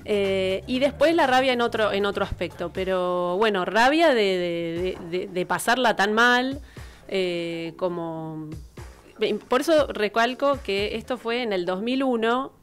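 A woman with an Argentine Rioplatense accent, a radio co-host telling stories casually in an expressive, rambling way. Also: medium pitch, a little slow. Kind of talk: animated casual storytelling and banter. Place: small radio studio. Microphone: close studio microphone on arm. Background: music.

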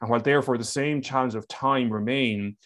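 A man's voice: medium pitch, fairly even intonation, fairly quick, fairly bright tone. Irish man explaining at a panel. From Ireland. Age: middle-aged. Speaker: education leader, webinar panelist. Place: indoors on a video call. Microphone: headset.

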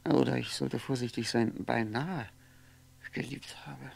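An actor playing a lonely, wistful, sardonic drinker in a 1960s radio play monologue, halting and musing, repeating words and trailing off. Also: male voice, mid-range voice, steady tone, vocal fry, noisy background. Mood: drowsy, wistful. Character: lonely drinking man, wistful, sardonic, brooding over memories.

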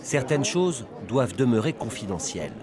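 A man's voice: medium pitch, big pitch swings, moderate pace, crowd noise. A man reading scripted documentary narration as a voice-over. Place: voice-over over location sound. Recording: faint background noise under voice-over.

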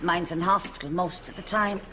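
A woman playing a naive, gossipy middle-aged Irish housewife in a sitcom, with deadpan delivery. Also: on the low side, normal speed, a little hiss or hum. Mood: deadpan, nosy. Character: dim, earthy, gossipy Irish housewife friend Winnie. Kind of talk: sitcom acting.

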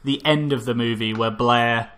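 A man chatting through a film recap at a medium pitch, stumbling over his words with plenty of ums. He keeps breaking into giggles as he goes, loose and unpolished. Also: fairly even intonation, moderate pace, a bit bright. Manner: rambling, with many ums and restarts; giggly, often laughing mid-sentence. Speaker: podcast co-host. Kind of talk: casual movie review chat.

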